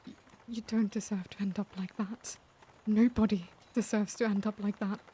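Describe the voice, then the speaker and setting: A woman acting as an anguished, caring vampire lady in an ASMR roleplay, speaking formally and tenderly in hesitant, breathy half-whispers. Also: mid-range voice, steady tone, normal speed, warm tone, slight background noise, lightly acted. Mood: sorrowful, pleading. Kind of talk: ASMR roleplay, intimate dramatic monologue.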